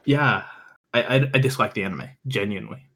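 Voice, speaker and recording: A man's voice: on the low side, very animated, fairly quick, fairly bright tone, breathy edge. A man speaking as a podcast guest, giving emphatic opinions in an informal, conversational way. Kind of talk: casual opinionated chat.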